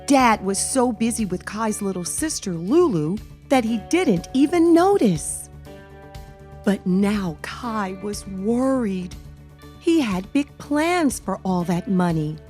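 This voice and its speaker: A woman hosting a children's read-aloud, narrating in an expressive, deliberate way as she addresses young listeners. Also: mid-range voice, soft background music. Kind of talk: expressive children's storybook reading.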